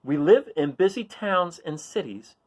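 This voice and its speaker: A man reading a children's picture book aloud in an informal, expressive way, putting questions to young listeners and encouraging them. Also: fairly high pitch, a little slow. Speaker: read-aloud storyteller.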